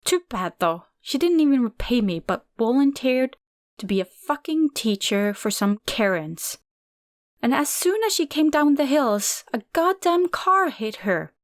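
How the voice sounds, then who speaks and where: Woman putting on a loud, high, cursing fifties aunt, combative storybook voice, dry recording. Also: sing-song, a little slow, dry recording. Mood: angry scornful. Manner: barking, cursing, scornful. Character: coarse foul-mouthed woman in her fifties, bitter and combative.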